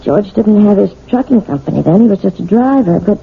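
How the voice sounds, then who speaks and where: Gentle, flustered piano teacher, tinny. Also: female voice, mid-range voice, lively intonation, brisk, gravelly, husky, full character voice. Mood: uneasy, confiding. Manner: gently chiding, flustered, hesitant. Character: gentle, evasive married piano teacher hiding her fear. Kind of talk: radio drama acting. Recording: phone-like, hissy old radio recording.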